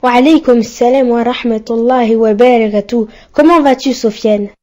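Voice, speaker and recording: A woman plays a kind cartoon boy with a lightly high, warm-toned voice. He sounds devoted and well-mannered, choosing polite, slightly formal words. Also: fairly even intonation, moderate pace, dull audio, close to an everyday voice. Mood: courteous, apologetic. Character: devoted, gentle, courteous young son and friend. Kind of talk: didactic cartoon dialogue.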